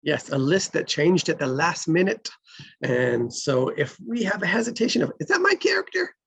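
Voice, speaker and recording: A woman's voice: low-pitched, lively intonation, normal speed, creaky, slightly rough, no room sound. A woman hosting and casually introducing the cast of a table read.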